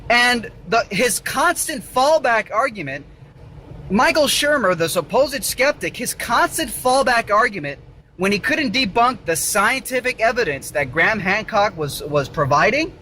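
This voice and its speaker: A man with a high, bright voice lectures to camera in an argumentative monologue. His delivery is lively and emphatic, circling back on his points. Faint vehicle noise sits behind him inside the car. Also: measured pace. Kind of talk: argumentative solo video monologue.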